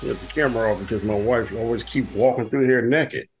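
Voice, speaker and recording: A man co-hosting a podcast, making loud crude jokes. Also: mid-range voice, fast talker, soft background music. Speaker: podcast co-host. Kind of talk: casual podcast banter.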